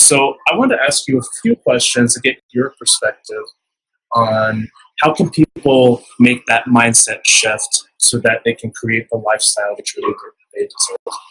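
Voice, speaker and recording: A man's voice: mid-range voice, sharp tone, breathy edge, poor audio. A man hosting an interview, asking chatty, upbeat questions. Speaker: interviewer, channel host. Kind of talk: casual interview. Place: casino resort event.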